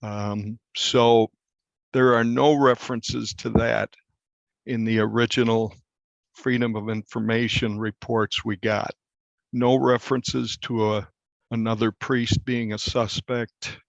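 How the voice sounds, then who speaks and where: Reporter guy explaining stuff in an interview, gravelly fry, halting delivery, kinda muffled audio. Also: medium pitch, little pitch movement, no room sound. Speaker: newspaper reporter being interviewed. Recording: dull, slightly muffled lo-fi sound.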